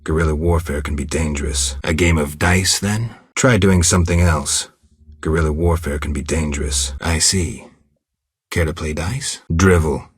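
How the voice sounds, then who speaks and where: A stoic, world-weary monster hunter from a fantasy video game. His voice is exceptionally deep and heavily husky, recorded close and dry. He speaks in terse, restrained phrases, blunt but quietly protective. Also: moderate pace, no echo. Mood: even, matter-of-fact. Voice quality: gravelly, husky low voice. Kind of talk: video game character dialogue.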